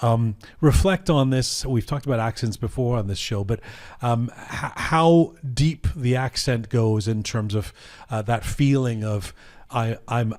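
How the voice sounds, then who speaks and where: A man hosting a panel podcast, reflective and halting, often restarting his sentences as he thinks aloud. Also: fairly low pitch, a little mellow. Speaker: podcast host. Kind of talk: panel discussion.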